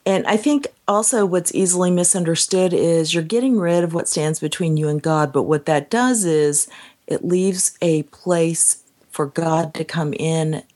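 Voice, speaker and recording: A woman's voice: on the low side, measured pace, darkish tone. A woman co-hosting a podcast, speaking earnestly and reflectively in casual chat, often laughing. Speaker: podcast co-host.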